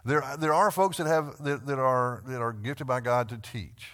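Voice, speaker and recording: A man's voice: mid-range voice, fairly even intonation, normal speed, a bit bright. A man with a Texan accent leading a church Bible class, talking earnestly with frequent restarts and repeated words. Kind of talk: conversational Bible class teaching.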